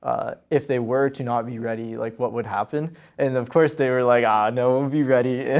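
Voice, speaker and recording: A man's voice: mid-range voice, fairly even intonation, normal speed, lo-fi sound. A young man, a student, explaining casually in an interview. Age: young adult.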